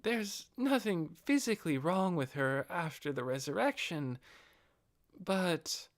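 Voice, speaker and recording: Man as devoted female guardian, high lifted voice, polite, earnest, deferential, swooping intonation, fantasy audiobook. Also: lively intonation, slow pace. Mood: restrained. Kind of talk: audiobook character acting.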